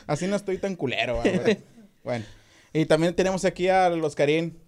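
Slightly high-voiced Mexican man, joking, crude podcast host. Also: animated, brisk, a little mellow. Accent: Mexican Spanish. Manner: joking, crude slang, interviewing. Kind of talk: casual podcast chat.

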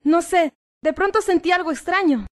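A woman's voice: extremely high pitch, normal speed. A woman playing a tender, anxious young woman in love in a radio drama, speaking sentimentally.

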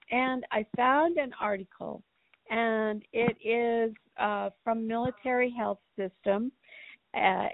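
Hoarse woman with a gravelly voice. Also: a little high, dry recording. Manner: earnest, clears throat often. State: hoarse, recovering from a cold. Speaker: podcast co-host. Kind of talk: casual podcast talk. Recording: dry, tinny phone-like sound.

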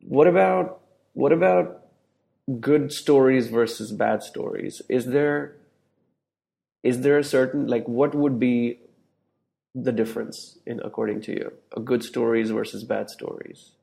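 A man's voice: medium pitch, a little slow, gravelly, warm tone. A man hosting a podcast interview over a remote call, asking questions in a casual, loose way and rephrasing them as he goes. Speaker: podcast host.

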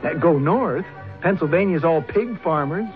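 Male actor as a jealous rustic young man, ungrammatical and folksy, animated pitch, radio drama, dull old recording. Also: moderate pace, faint music behind, lightly acted. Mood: folksy, eager. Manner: folksy, stammering, ungrammatical country talk. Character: jealous, plainspoken rustic young colonial Virginian suitor.

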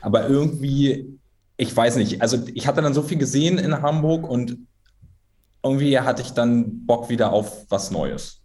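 A man's voice: mid-range voice, moderate pace. A man in his thirties from Berlin chats as a guest about his life as a drummer. He tells stories at an easy pace, hedges a lot and laughs at himself. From Berlin, Germany. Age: 30s. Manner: relaxed storyteller, hedging, self-deprecating laughs. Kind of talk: casual interview chat.

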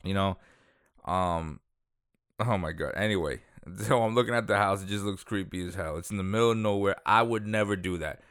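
Rambling low-voiced true crime storyteller, a man. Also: normal speed, fairly bright tone, clear, resonant tone, no echo, studio quality. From Dominican Republic, raised in Queens. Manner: rambling, full of fillers and self-corrections, chuckles aside. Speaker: true crime podcast host. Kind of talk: casual storytelling.

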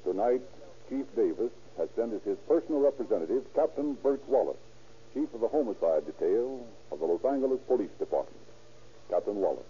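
American guy announcing old 1930s radio show, super dark tone, dull lo-fi recording. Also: lowish voice, lively intonation, brisk, a little hiss or hum.

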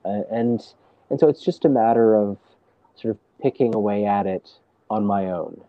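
A man telling stories as a guest, talking in a hesitant, halting way with many restarts, seated at home on a video call. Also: lowish voice, dry recording, lo-fi sound. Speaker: storyteller guest. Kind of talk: casual conversation.

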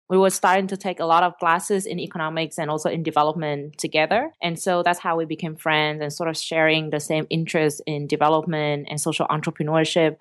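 Dry, low-voiced woman with a Vietnamese accent. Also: no echo. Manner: earnest, explains at length with rephrasings. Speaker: startup cofounder guest. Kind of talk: interview answers.

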